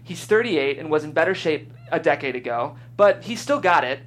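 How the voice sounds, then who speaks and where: A woman's voice: low voice, lively intonation, moderate pace. A woman hosting a podcast and reading scripted stage directions as narrator. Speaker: host and stage-direction reader.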